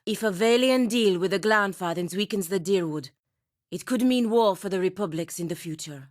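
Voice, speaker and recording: A lower-pitched female voice, close and dry, carries a proud knight of a fantasy game, performed by an actress. She is stern and formal, bound by duty, with an intense and bitter edge to her delivery. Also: dry recording, lightly acted. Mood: troubled, deliberate. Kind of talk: video game character acting.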